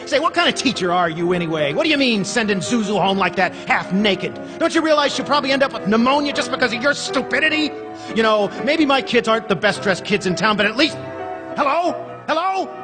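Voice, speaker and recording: A man's voice: very high voice, animated, fairly quick. An actor playing a desperate young family man, frantic and stammering. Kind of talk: live radio drama acting. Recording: theater stage with long reverb and music.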